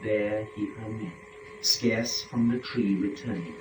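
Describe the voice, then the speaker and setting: Male narrator for epic poetry: solemn, theatrical, unhurried delivery, recorded at a distance in an echoey room. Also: lowish voice. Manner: solemn, deliberate, theatrical phrasing. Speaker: narrator in a dramatic reading. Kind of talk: dramatic recitation of epic verse. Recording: echoey room, distant mic, faint noise.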